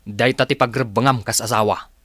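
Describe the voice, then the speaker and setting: A man with an Ilocano accent playing a stern, grudging, domineering husband in a radio comedy drama, gruff and dismissive. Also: medium pitch, steady tone, fairly quick, fairly bright tone, no room sound, clean studio sound, close to an everyday voice.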